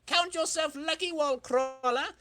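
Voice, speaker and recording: A man's voice: very high-pitched, measured pace, sharp tone, no room sound, pitched above the performer's own voice, heavily acted. A man voicing a cocky, scheming supervillain racer in a boastful, over-dramatic comic-book reading.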